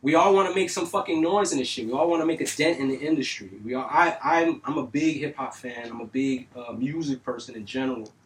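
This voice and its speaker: A middle-aged man from North Miami telling stories in a casual studio interview, animated and full of street slang and cursing. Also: mid-range voice, fairly quick, few pauses. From North Miami, Florida. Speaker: music engineer guest. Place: recording studio. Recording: distant mic, faint noise.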